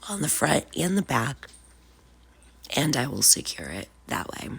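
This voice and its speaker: A woman's voice: extremely low pitch, very animated, unhurried, vocal fry, fairly bright tone, breathy edge. A woman with a cold, sounding sniffly, casually explaining a DIY craft step by step as host of her channel. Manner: casual, chatty, with frequent "uh" and "um". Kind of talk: DIY craft tutorial narration.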